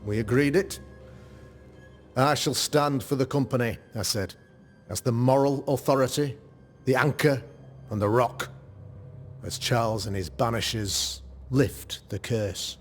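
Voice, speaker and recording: A man playing a pious, grave colonial demonologist in a video game, speaking in a formal, measured, rhetorical way. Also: medium pitch, faint music behind, lightly acted. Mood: earnest, pompous. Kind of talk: dramatic video game cutscene acting.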